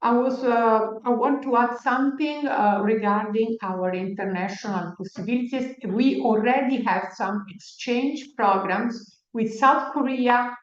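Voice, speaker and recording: Slow, measured woman in a roomy hall. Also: on the high side. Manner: slow and measured, with frequent hesitations and restarts. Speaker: medical school faculty member. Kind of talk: answering a question at an open day. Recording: roomy, muffled livestream audio.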